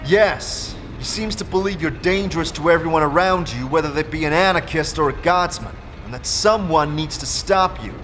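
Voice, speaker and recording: A man's voice: fairly high pitch, normal speed, few pauses, a bit bright, faint music behind, pitched above the performer's own voice, close to an everyday voice. A man playing a blunt adventurer turned investigator in a fantasy audio drama, direct and firm in his questioning. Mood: firm, accusing.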